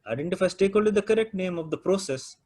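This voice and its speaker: A man's voice: high-pitched, animated, racing, warm tone. A man whose first language is Urdu, teaching a project management class and prodding students with questions.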